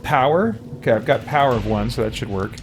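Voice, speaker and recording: A man's voice: mid-range voice, lively intonation, fast, faint music behind. A man hosting a let's play, thinking aloud and reading game text as he goes.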